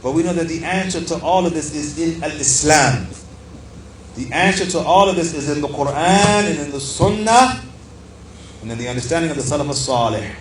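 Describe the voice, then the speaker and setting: A man's voice: fairly high pitch, expressive, fairly quick. A man giving an Islamic religious lecture as a teacher, explaining a text emphatically and repeating key phrases for stress as he addresses his listeners. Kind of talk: religious lecture, reading aloud from a book and explaining it. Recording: echoey room with faint background noise.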